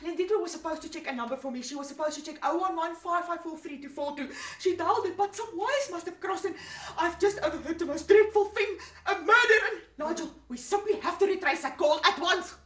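An actress playing an anxious, melodramatic housewife in a stage comedy. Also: female voice, very high-pitched, a little fast, hardly any pauses. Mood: agitated, urgent. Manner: breathless, insistent, melodramatic. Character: anxious wheelchair-bound housewife reporting an overheard murder. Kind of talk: theatrical cabaret comedy acting. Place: theatre stage. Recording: echoey stage, distant mic, loud music.

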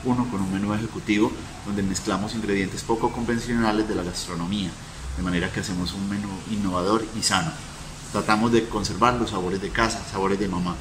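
Low-voiced man, noisy. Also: fairly even intonation, brisk. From Colombia. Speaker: restaurant manager and cook. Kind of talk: promotional self-introduction.

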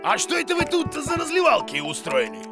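An actor voicing an excitable, bossy, bumbling monster teacher in a children's cartoon, with a blustering, theatrical delivery. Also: high-pitched, brisk. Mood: indignant. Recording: music behind the voice.

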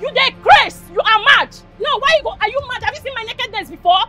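A woman playing a fiery, shouting young Nigerian woman. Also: very high-pitched, expressive, fast talker, faint music behind, full character voice. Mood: angry. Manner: shouting, scornful, Pidgin-laced. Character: fiery young woman named Amaka, loud and insulting. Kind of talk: Nollywood-style drama acting.

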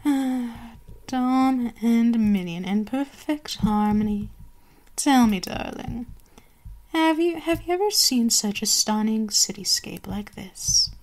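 A woman's voice: a little high, animated, slow pace, a little mellow. A woman voicing a haughty, cruel and seductive vampire queen in an ASMR roleplay, savoring her commands and gloating. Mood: proud, gloating.

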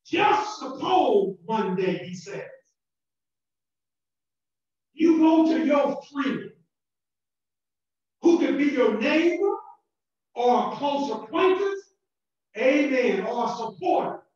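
Southern pastor preaching slow and loud, high voice, far off mic in a big echoey church, kinda muffled. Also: male voice, very animated. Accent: Southern American. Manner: preaching with rising shouted emphasis and repetitions. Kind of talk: sermon. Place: church sanctuary.

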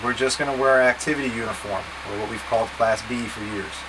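A man's voice: mid-range voice, fast. A man in his thirties giving step-by-step, casually directive instructions to camera as a trip leader, in a plain-spoken way. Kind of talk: instructional packing talk to camera. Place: small wood-panelled boat cabin, indoors. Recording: steady background hum and noise.

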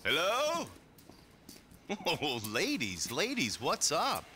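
A mocking government agent in a video game, glib and condescending. His voice is fairly high, extremely bright and highly animated. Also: slow, slight background noise, heavily acted. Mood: mocking, breezy. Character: smug, flippant male government agent, mocking.

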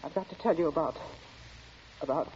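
A woman's voice: deep voice, fairly quick, a little mellow. Casting: protective, anxious stepmother, apologetic, for a vintage radio thriller, dull and faintly noisy. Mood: troubled. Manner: hesitant, apologetic, worried. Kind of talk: 1940s radio acting.

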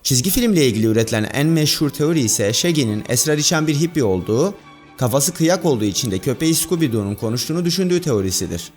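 Male narrator, mid-pitched and expressive, for a scripted list video with a music bed. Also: fast talker. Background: background music.

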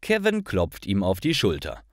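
A man reading stories for language learners in a careful, clearly articulated and expressive way. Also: low-pitched, normal speed, no room sound, studio quality. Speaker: narrator of stories for German learners.

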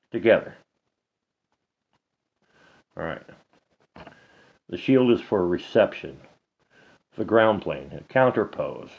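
A middle-aged man walks through a hands-on repair at an indoor table, speaking very slowly in a lowish voice and muttering to himself between steps. The sound is a little dull, picked up by a camera close to his hands. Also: slightly rough. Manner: slow, halting, talks to himself while working. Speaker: DIY hobbyist demonstrating a repair. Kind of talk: casual hands-on tutorial.

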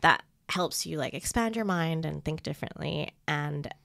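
A woman's voice: low voice, moderate pace, gravelly, fairly bright tone, no echo, studio quality. A woman who grew up in Thailand, a comedian guest on a podcast, talking in a rambling, hesitant way with many fillers. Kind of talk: casual interview chat.

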